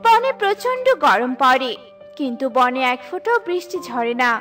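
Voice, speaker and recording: Bright female children's story narrator. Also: high-pitched, sing-song, moderate pace, soft background music.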